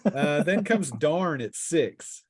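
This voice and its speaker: A man's voice: on the high side, lively intonation, slow, slightly rough, no echo. A jovial man acting as game master, casually narrating and bantering through a tabletop role-playing session. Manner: jovial, laughs often.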